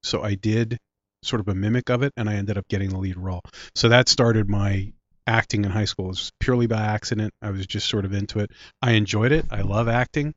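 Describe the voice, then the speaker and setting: A man in his late forties with a Philadelphia accent tells long, facetious stories in a lowish voice. He sounds congested from a sinus infection. The recording is dry and dull, with a lo-fi edge. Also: fairly quick, fairly bright tone, no room sound. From Philadelphia area, US. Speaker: guest, director and writer. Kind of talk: casual interview chat.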